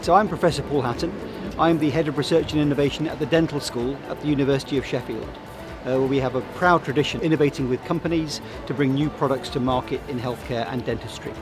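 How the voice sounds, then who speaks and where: A man who heads research at a university, giving a brisk, articulate interview at a networking event. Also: mid-range voice. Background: background music.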